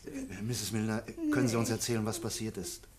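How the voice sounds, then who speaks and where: An actor playing a composed, inquisitive doctor turned sleuth in a German radio mystery, courteous and probing. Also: male voice, fairly low pitch, wildly swooping pitch, a little fast, crowd noise. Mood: careful, concerned.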